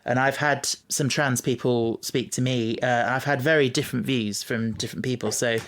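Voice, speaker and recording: Podcast host, man, mid-pitched voice, casual and enthusiastic fan talk with tentative phrasing. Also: steady tone, normal speed, fairly bright tone.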